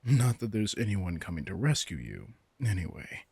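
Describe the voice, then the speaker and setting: A man's voice: sits low, big pitch swings, normal speed, creaky, mellow, husky, no echo, heavily acted. A man playing a commanding, teasing vampire king in a roleplay audio drama, smug and casually menacing as he speaks straight to the listener.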